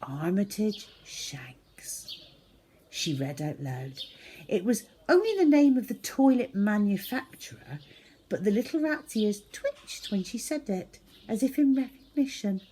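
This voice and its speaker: A woman reading a children's book aloud as a storyteller, in an expressive, theatrical manner. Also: mid-range voice, moderate pace, husky. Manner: animated, theatrical, with acted-out sound effects.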